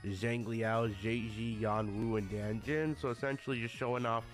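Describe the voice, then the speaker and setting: Mid-pitched male gaming YouTuber, a bit under the weather, chatting to viewers over faint music. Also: steady tone, normal speed. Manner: addresses viewers often as guys. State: a little sick. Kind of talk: casual video commentary.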